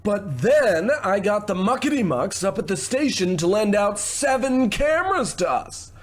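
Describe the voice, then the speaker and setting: Man playing a high-pitched, slick showbiz producer. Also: big pitch swings. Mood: boastful, excited. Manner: fast-patter salesman, gushing pet names. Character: slick, pushy, glib showbiz producer, male. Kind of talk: old-time radio comedy-drama acting.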